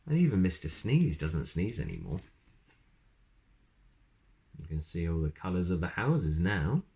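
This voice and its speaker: A man's voice: deep voice, huge pitch swings, a little slow, vocal fry, a little mellow. A man reading a children's story aloud expressively, with chatty asides to his listeners.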